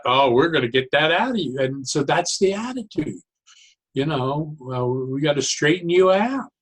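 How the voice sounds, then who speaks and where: A man speaking as an interview guest, explaining his views conversationally in halting, deliberate phrases with pauses. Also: medium pitch. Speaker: interview guest, therapeutic humor expert.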